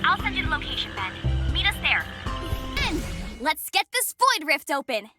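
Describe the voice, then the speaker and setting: A woman voicing a sensible, earnest young girl in a cartoon video game, brisk and businesslike. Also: sits high, very animated. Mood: urgent. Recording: loud music over the voice.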